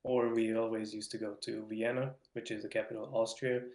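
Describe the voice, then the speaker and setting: A man's voice: fairly low pitch, level tone, fairly quick, a little mellow. Young Hungarian man in an echoey room. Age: young adult. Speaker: podcast guest. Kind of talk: casual interview chat. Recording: roomy, echoing voice.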